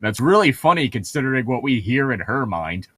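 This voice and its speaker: A man's voice: mid-range voice, very animated, fairly quick, pushed and bright, husky. A man co-hosting a casual read-aloud, throwing in sarcastic asides and laughing often. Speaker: co-host of a humorous read-aloud commentary.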